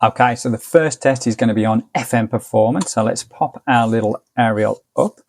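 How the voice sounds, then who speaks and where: A man's voice: mid-range voice, normal speed, darkish tone, a little hiss or hum. An English man giving a casual, easygoing hands-on product review in colloquial step-by-step commentary. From United Kingdom. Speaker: gadget reviewer.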